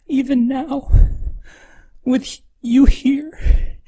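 A woman playing a remorseful woman weeping before God in a devotional audio drama, speaking in a halting, emotional, pleading way. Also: high voice, steady tone, dark tone, slightly rough, full character voice.

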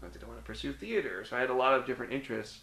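A man's voice: medium pitch, animated, rapid-fire, vocal fry, pushed and bright. Middle-aged Midwestern man, interview guest, hesitant, ums and restarts, recorded in an echoey room. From Cincinnati, Ohio (US Midwest). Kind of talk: casual interview, telling his life story.

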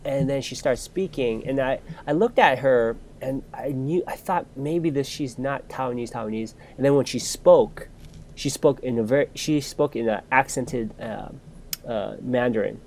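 A man's voice: medium pitch, normal speed, darkish tone, slight background noise. A man in the middle register tells a long personal story on a podcast, chatting casually. He talks freely and loosely, often breaking off and starting his sentences over.

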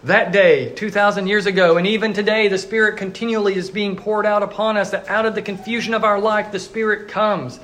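A man, a pastor, preaching a sermon in an earnest storytelling way, now and then voicing the crowd in quick asides. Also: high voice, steady tone, a bit bright.

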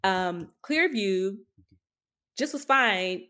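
A woman's voice: medium pitch, expressive, very slow, bright, forceful tone. A woman co-hosting a podcast, talking through the news in a casual, conversational way and thinking aloud. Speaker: podcast co-host.

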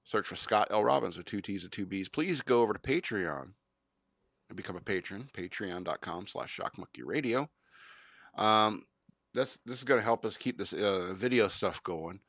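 A man's voice: lowish voice, animated, normal speed, fairly bright tone, no room sound, studio quality. A man hosting a podcast, rambling and joking in casual banter. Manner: rambling, jokey, self-promoting. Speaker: podcast host.

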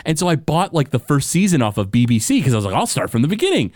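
Casting note: teasing male podcast co-host, lively up-and-down pitch, dry close mic. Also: fast, dry recording. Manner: playful teasing, lots of fillers and restarts. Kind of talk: casual chat.

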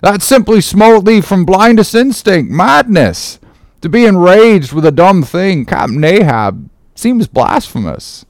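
A man's voice: a little high, lively intonation, moderate pace, dry recording, lightly acted. A man voicing a sober, principled ship's first mate, earnest, formal and reproving, in a dramatic novel reading. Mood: alarmed reproach.

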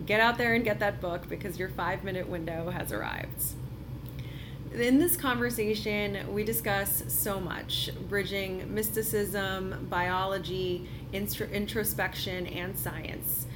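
An enthusiastic woman hosts a podcast and interviews a guest in a lively, upbeat way. She laughs easily. Steady noise runs under her voice. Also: medium pitch, unhurried. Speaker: podcast host. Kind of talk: scripted intro then casual interview. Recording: noisy background.

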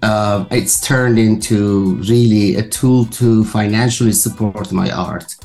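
Slow-spoken man in his sixties. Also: on the low side, steady tone, darkish tone, faint music behind. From Iran. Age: 60s. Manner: slow and thoughtful, with fillers. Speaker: guest artist. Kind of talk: casual interview talk.

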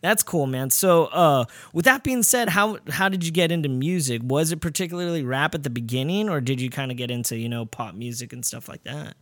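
High-voiced casual male podcast host. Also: a little fast, few pauses, no room sound, studio quality. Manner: slangy, excitable, informal banter. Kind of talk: casual interview chat.

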